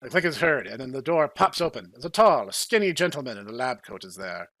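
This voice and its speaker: Animated, bright-voiced male narrator. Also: mid-range voice, fairly quick, no echo. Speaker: podcast host and narrator. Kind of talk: playful scripted narration of a video game story.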